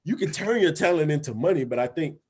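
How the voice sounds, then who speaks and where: A man's voice: a little high, expressive, fast, darkish tone, breathy edge. A man hosting a casual podcast chat, talking in a laid-back, slangy way, swearing often and asking "you feel me". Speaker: podcast host.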